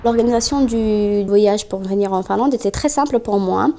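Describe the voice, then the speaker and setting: A young woman in her twenties, originally from Bosnia, tells of her internship abroad. She speaks in an earnest, reflective way, and her voice has a mellow tone at a middle pitch. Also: steady tone, moderate pace, a little hiss or hum. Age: 20s. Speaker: vocational student intern. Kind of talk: documentary interview.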